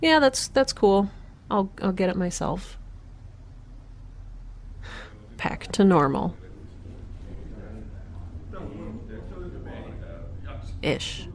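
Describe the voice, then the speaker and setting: An actress playing a wry, chatty scientist recording an audio diary in space, informal and rambling. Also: female voice, medium pitch, sing-song, a little slow, slight background noise. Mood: exasperated.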